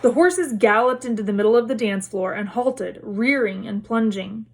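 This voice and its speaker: A woman reading a story aloud as narrator, in expressive storybook narration. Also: a little high, moderate pace.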